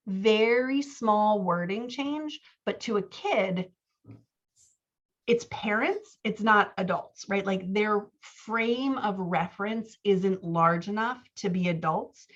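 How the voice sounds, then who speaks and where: A woman's voice: mid-range voice, slow pace, lo-fi sound. A woman working as a writing coach, going through written excerpts in a conversational, self-deprecating way. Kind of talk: workshop critique, reading excerpts aloud and commenting.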